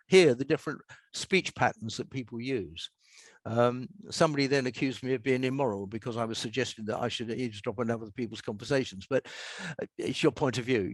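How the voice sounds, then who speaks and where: Older English male interviewee, mid-pitched, measured and emphatic storyteller, very little room sound. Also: no echo. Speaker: author and radio scriptwriter being interviewed. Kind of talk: conversational anecdotes and explanation.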